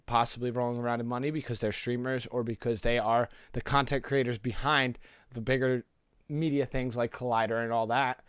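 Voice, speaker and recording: A man's voice: medium pitch, little pitch movement, a little fast, fairly bright tone, clean tone, no room sound, clean studio sound. A man co-hosting a casual pop culture podcast, talking in a slangy, rambling, enthusiastic way. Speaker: podcast co-host.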